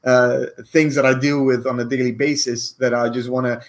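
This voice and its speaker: A man's voice: medium pitch, flat delivery, normal speed. Earnest man in his forties. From Cyprus. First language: Greek. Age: 40s. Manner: earnest, rambling, keeps checking in with "okay?". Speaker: online business coach. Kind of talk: casual talk to camera.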